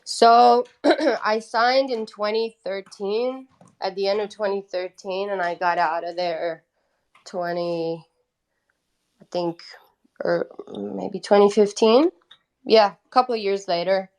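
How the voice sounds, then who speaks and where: A woman's voice: medium pitch, steady tone, takes it slowly. A woman in her twenties from Italy, a native Italian speaker, casually telling stories as an interview guest in a chatty, candid way. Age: 20s. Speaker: interview guest, independent musician. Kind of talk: casual personal storytelling.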